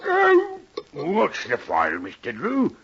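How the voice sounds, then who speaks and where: Gruff old sea dog, salty sailor talk, slow and wildly swooping, old radio drama. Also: male voice, sits high, wildly swooping pitch, a bit bright, heavily acted. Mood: gruff, needling. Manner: salty nautical dialect. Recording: muffled vintage radio recording.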